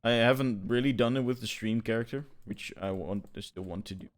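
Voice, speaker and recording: A man chatting casually as a podcast co-host, speaking haltingly and often restarting his words. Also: mid-range voice. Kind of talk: casual chat.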